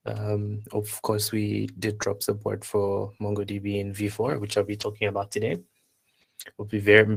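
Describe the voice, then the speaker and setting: A man from Zambia hosting a live online workshop, chatting casually and haltingly as he reads out attendees' replies. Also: lowish voice, vocal fry. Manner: unhurried, halting, reading out chat replies. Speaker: workshop instructor and host.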